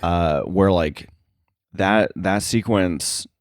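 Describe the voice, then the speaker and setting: A man speaking as an interview guest in casual chat, halting and informal, thinking his way through each phrase. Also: sits low, background noise. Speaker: interview guest, sound editor.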